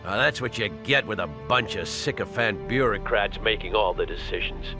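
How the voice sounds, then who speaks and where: A man acting as a gruff, resolute veteran American army colonel in a video game, blunt and commanding. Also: on the low side, animated, normal speed, over music. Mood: angry.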